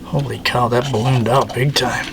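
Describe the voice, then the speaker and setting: A man's voice: medium pitch, steady tone, moderate pace. A man talking casually while working, guiding a helper through a craft with exclamatory, encouraging remarks. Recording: noisy room, clear background noise.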